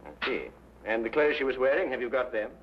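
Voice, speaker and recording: An actor playing a courteous, composed gentleman detective who questions politely in an old-time detective drama. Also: mid-range voice, very animated, fairly quick, a little hiss or hum, close to an everyday voice. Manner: polite, probing, slightly hesitant questioning. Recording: muffled old radio-era recording.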